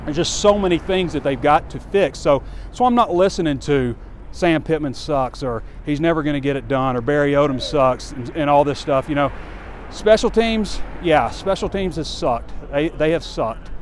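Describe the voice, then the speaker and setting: Man in his forties, walk-and-talk phone recording, slightly high voice, faint street noise, candid and rambling. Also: normal speed, few pauses. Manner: candid, conversational, rambling with fillers. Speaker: sports site publisher. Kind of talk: casual walking commentary. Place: outdoors on a campus street near a stadium. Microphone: phone camera held close while walking.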